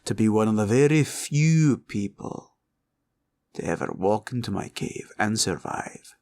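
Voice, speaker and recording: A man playing a proud, cunning green dragon in a villain roleplay audio, with a taunting drawl and a gravelly growl. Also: on the low side, lively intonation, unhurried, darkish tone. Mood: taunting, teasing. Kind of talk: roleplay ASMR villain monologue.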